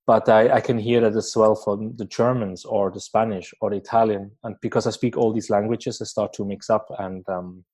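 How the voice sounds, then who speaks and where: A man's voice: lowish voice, steady tone, normal speed, few pauses, darkish tone. A man who is not an English speaker, hosting a podcast interview in casual chat, with many fillers, restarts and frequent laughter. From Switzerland. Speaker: podcast host.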